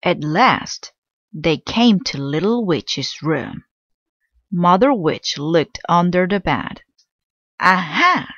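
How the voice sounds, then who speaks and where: A woman narrating a children's storybook aloud in an expressive storytelling style. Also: lowish voice, slow pace, dry recording, muffled recording.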